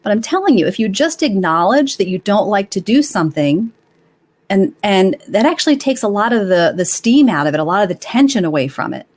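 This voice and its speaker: A woman's voice: medium pitch, very animated, brisk, darkish tone. A woman hosting a live advice show, talking conversationally in an encouraging, explanatory way to her audience. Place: live online call-in broadcast.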